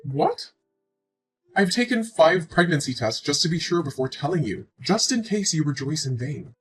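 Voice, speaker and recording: A woman's voice: sits low, expressive, a little fast, soft background music. A woman in her twenties playing a hurt, pleading young woman who has just learned she is pregnant, emotional and reproachful. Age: 20s. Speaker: pregnant girlfriend. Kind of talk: scripted relationship drama.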